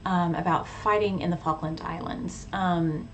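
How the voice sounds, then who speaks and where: A thoughtful, measured woman speaking as a hobbyist vlogger. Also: fairly low pitch, fairly even intonation, poor audio. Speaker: radio hobbyist vlogger and poet. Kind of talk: casual informative vlog talk with poetry reading. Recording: clearly audible background noise.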